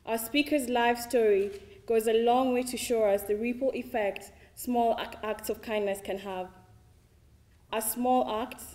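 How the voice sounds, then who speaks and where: A woman's voice: fairly high pitch, mellow. Kenyan young woman reading a formal introduction. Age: young adult. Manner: measured, read from a script. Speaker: student introducing the speaker.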